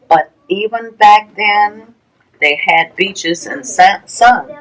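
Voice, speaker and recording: A woman reading a rhyming children's picture book aloud, with a measured sing-song delivery and pauses between phrases. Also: on the low side, a bit bright, poor audio. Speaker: library storytime reader.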